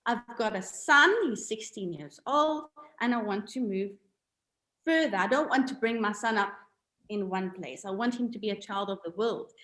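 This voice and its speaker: A middle-aged woman from South Africa, a guest on a video-call interview, telling her story in an animated, emphatic way. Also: mid-range voice, moderate pace, roomy sound, low-quality recording. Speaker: podcast guest, health coach. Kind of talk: casual interview chat. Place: home room with a mirror.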